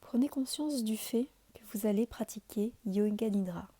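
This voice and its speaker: A woman giving calm, soothing guided meditation instructions as a yoga nidra teacher, in a deliberate, measured delivery. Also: mid-range voice, husky.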